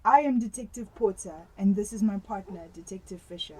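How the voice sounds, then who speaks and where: A woman plays a police detective in a radio drama. She has a mellow, medium-pitched voice and a matter-of-fact, take-charge manner. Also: fairly quick, slight background noise, lightly acted, rough recording. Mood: businesslike. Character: businesslike female police detective.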